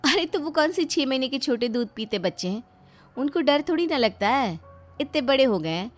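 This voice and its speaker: A woman's voice: high voice, sing-song, normal speed, faint music behind, voice pushed up. A woman voicing a young daughter-in-law, polite but stubborn and easily put out, in a dramatized family story. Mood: defensive, pleading.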